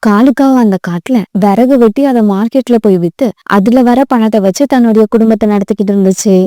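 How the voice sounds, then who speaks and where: A woman's voice: a little high, a little fast, mellow, no echo, studio quality. A woman narrating a children's moral story as a scripted voice-over.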